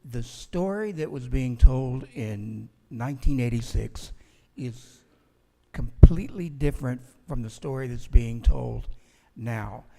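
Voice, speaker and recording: A man lecturing from a podium in a museum auditorium, projecting his voice in a plain, steady way. Long reverb from the hall hangs behind his words. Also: mid-range voice, slow, creaky. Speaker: conference speaker, museum historian. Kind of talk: public lecture. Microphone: podium microphone.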